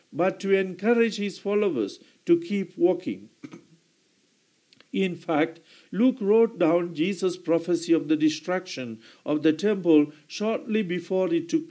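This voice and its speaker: A man preaching a homily in a slow, deliberate way, now and then clearing his throat and correcting himself. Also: high voice, a little mellow.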